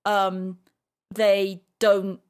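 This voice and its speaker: A woman's voice: mid-range voice, completely flat delivery, very slow, clear, resonant tone. A woman hosting a podcast, talking casually through a discussion. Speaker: podcast host.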